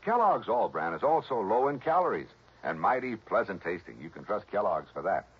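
A man reading a smooth, persuasive commercial as a radio announcer. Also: big pitch swings, a little fast. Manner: smooth, persuasive sales pitch. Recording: dull old radio broadcast with music.

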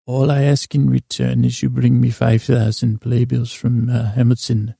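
A man's voice: mid-range voice, little pitch movement, normal speed, creaky, warm tone, husky, no room sound, clean studio sound. A man voicing a grandly self-assured hotel concierge, courteous and boastful, with formal, pompous phrasing, in a comic podcast.

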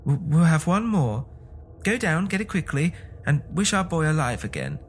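A man's voice: a little high, expressive, moderate pace, darkish tone, a little hiss or hum, voice pushed up, close to an everyday voice. A man voicing a frantic, grieving old mother in a story, with breathless, urgent delivery. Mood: urgent pleading.

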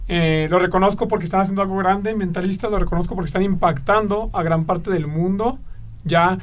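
A man's voice: high voice, fairly even intonation, fairly quick, far from the mic, a little hiss or hum. A man in his twenties, a guest coach, talking earnestly on a casual podcast. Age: 20s.

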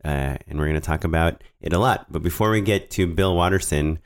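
A man co-hosting a filmmaking podcast, nasal-sounding from a cold, talking in a relaxed, casual way. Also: low voice, a little fast, clear, resonant tone. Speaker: podcast co-host.

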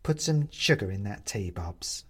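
Man doing a tiny victorian china lady ghost, prim, solemn n bossy, savours every word, bit husky, storybook voice. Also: lowish voice, lively intonation, a little slow. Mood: brisk. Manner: solemn, bossy, relishing her words. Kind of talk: storybook character voice.

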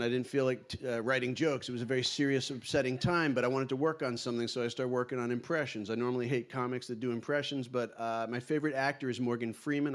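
A man doing a stand-up comedy set, deadpan with loud punchlines. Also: medium pitch. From New York.

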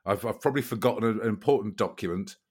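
A man co-hosting a podcast in rambling, jokey chat, his voice a little croaky. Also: fairly low pitch, fast, a little mellow. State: slightly croaky voice. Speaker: podcast co-host. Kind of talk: casual comic chat.

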